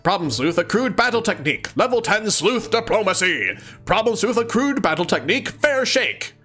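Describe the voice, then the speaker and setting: Animated, expressive man reading aloud. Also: high voice, moderate pace, faint music behind. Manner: giggly, expressive, dramatic reading mixed with chatty asides. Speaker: host of a live let's-read of a webcomic. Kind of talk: animated read-aloud with casual commentary.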